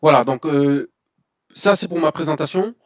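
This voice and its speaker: A man in his forties from France, talking as a guest in an interview and telling his story with lively restarts. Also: fairly high pitch, moderate pace. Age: 40s. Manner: animated storytelling with frequent restarts and "voilà". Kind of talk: casual interview talk. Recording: dull lo-fi sound.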